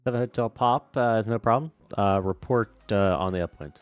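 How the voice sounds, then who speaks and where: A man acting as a virtual air traffic controller, switching between radio phraseology and casual, relaxed chat to a stream. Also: lowish voice, brisk, smooth, dry recording, lo-fi sound, faint music behind.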